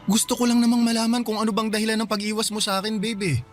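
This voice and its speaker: A man's voice: high-pitched, level tone, very fast, faint music behind, natural voice. A man playing a clingy, earnest boyfriend in a radio drama, pleading and worried. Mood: hurt, pleading. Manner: pleading, stammering when upset. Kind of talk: Tagalog radio drama acting.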